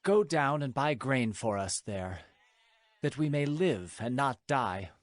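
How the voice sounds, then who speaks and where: An actor playing a solemn old patriarch urging his sons in a dramatized scripture recording, with deliberate delivery. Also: mid-range voice, expressive, dry recording. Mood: urgent, solemn. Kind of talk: dramatized scripture audio acting.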